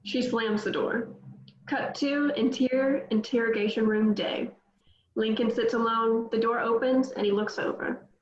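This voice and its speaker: A woman's voice: a little high, fairly even intonation, unhurried. A woman reading scripted stage directions as narrator at a table read, in roomy, slightly dull audio.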